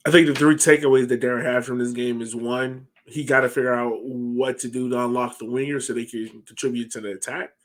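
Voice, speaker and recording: A man's voice: medium pitch, level tone, brisk, hardly any pauses. An American man, a podcast host, casually discussing sports on a video call. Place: home room, on a video call. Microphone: headset on a video call.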